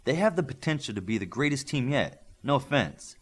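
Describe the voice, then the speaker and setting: A man voicing an assured, measured veteran combat instructor in an audiobook. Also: medium pitch, sing-song, pitched above the performer's own voice.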